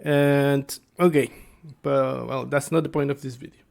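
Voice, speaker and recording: A man talks informally to viewers as a game master explaining his game. His delivery is slow and hesitant, often searching for the next word. The voice sits at a middling pitch with a noticeable creak. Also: steady tone, a little mellow. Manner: halting, hesitant, searching for words. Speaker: tabletop game master and video creator.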